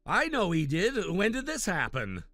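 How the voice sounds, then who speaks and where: A man plays a grown son in an audio drama, brushing off his sister with curt, dismissive replies. His bright voice moves widely in pitch but keeps a slow pace, with faint music behind. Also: wildly swooping pitch, no room sound, studio quality, heavily acted.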